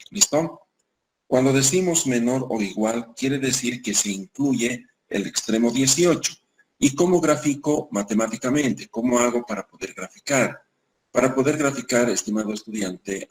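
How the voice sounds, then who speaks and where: Male math lecturer, mid-pitched, patient and repetitive, checks in with students constantly, slows right down on key rules. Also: slight background noise, rough recording. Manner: repeats points, keeps checking with "¿listo?". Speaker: math teacher. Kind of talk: classroom lecture.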